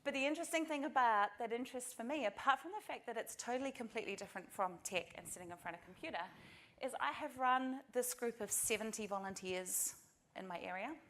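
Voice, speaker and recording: A woman's voice: on the high side, fairly quick, fairly bright tone. A middle-aged woman from New Zealand with a New Zealand accent, giving a practical, earnest conference talk straight to the audience. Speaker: conference speaker. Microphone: presentation mic.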